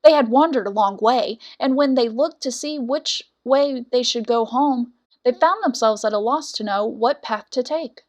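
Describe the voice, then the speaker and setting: A woman reading a scripted fairy tale as a storyteller, with dramatic, deliberate phrasing. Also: a little high. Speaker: storyteller, audiobook narrator.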